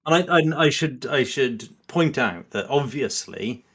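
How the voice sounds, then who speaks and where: A man's voice: medium pitch, animated, a little slow, fairly bright tone. A man as a podcast guest, explaining his opinions in a rambling, conversational way with plenty of hedging. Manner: rambling, hedging, acts out imagined quotes. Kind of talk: casual chat, explaining opinions.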